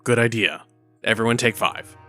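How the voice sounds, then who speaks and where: A man's voice: medium pitch, moderate pace, bright, forceful tone, faint music behind, close to an everyday voice. A man playing a firm, authoritative superhero in charge in an audio drama, with a blunt manner. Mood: stern.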